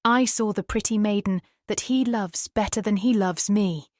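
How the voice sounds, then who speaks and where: Young mermaid girl, acted by a woman, British accent, raised pitch, devoted, wistful, fairy tale audiobook, dry sound. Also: normal speed, no echo, close to an everyday voice. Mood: wistful sorrow. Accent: British English. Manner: earnest, wistful.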